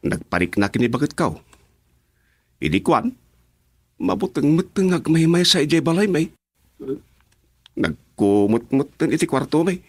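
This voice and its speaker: Expressive henpecked Ilocano village councilman. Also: fairly high pitch, a little slow, pauses often, no echo, full character voice. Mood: sheepish. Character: henpecked village councilman making sheepish excuses to his chairman. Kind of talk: Ilocano comedy radio drama acting.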